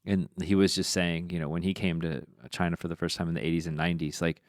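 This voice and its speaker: A man with a very low, smooth voice hosts a casual podcast. He muses his way through points with lots of fillers, recorded close and dry. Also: little pitch movement, fast, no echo. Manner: rambling, thinking aloud with many fillers. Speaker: podcast host.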